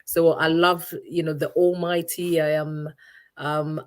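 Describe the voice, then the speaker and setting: A woman's voice: sits low, little pitch movement, slow pace. A middle-aged woman from Uganda, a guest in an interview, answering thoughtfully and searching for her words with frequent restarts.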